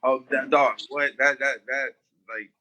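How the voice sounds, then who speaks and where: A man's voice: mid-range voice, steady tone, measured pace, pushed and bright, slight background noise, low-quality recording. A man being interviewed as a guest on a podcast, talking loosely with frequent restarts and casual swearing. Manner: loose, rambling, swears freely, many restarts. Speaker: guest musician.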